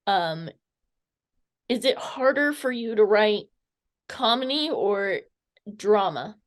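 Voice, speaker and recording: A woman's voice: medium pitch, animated, takes it slowly. A woman conducting a casual interview, phrasing her remarks and questions tentatively.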